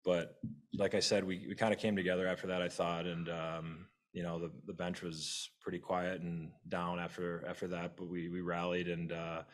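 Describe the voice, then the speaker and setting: A very deep man with a flat, even delivery speaks as a hockey player into a table mic in an arena press room. He talks plainly and offhandedly, with lots of ums and uhs. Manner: plain, offhand, with many fillers. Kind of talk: postgame press conference answers.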